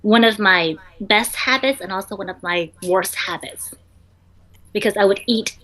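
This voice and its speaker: Young entrepreneur woman, vietnamese is her first language, lots of ums, mid pitch, casual interview talk. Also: normal speed, fairly bright tone, low-quality recording. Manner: chatty, many ums and restarts.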